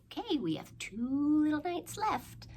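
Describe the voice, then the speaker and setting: A woman's voice: high voice, big pitch swings, measured pace, very noisy. A woman hosting a children's storytime, leading rhymes and crafts in a playful, encouraging manner and inviting young listeners to join in.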